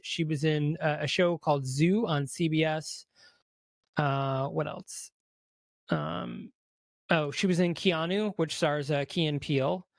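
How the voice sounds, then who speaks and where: A man with a high voice hosting a casual movie review podcast, talking loosely with lots of fillers. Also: dry recording, clean studio sound. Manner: quick, loose and talkative, many fillers. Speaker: podcast host.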